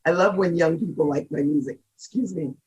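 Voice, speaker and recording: A middle-aged woman from Seattle with a deep, gravelly voice, chatting as a singer in an interview and laughing often. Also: fairly quick, a little mellow, lo-fi sound. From Seattle, United States. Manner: chatty, laughs often, jokes about herself. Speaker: guest singer. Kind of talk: casual interview chat.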